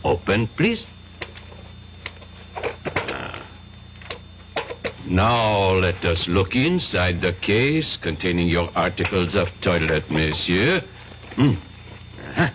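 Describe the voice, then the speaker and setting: An actor playing a polite, methodical French police inspector in a radio drama, with fussy, hesitant speech. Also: male voice, low voice, sing-song, slow, long pauses, full character voice. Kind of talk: 1970s radio drama acting. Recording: dull lo-fi old radio broadcast with faint hiss.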